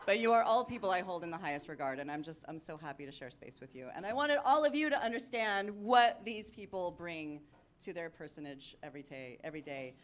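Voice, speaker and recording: A woman's voice: lowish voice, lively intonation, fairly quick, a little hiss or hum. A woman hosting a live fan panel, chatting casually and effusively, laughing often. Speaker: panel host and voice actor. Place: café event space with audience.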